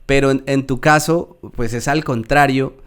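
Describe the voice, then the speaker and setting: A man with a Colombian accent hosting a casual interview, unhurried and thoughtful, often restarting his sentences. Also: medium pitch, steady tone, a bit bright, clear, resonant tone. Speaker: podcast host.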